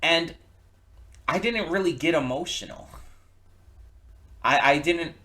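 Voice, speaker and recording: A man giving casual opinion commentary as a reaction video host, speaking haltingly and often restarting his words. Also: on the high side, bright, forceful tone.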